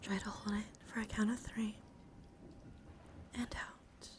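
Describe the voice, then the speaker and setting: A woman livestreamer giving a guided ASMR relaxation in a gentle, soothing, encouraging manner. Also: medium pitch, level tone, unhurried. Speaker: livestream host.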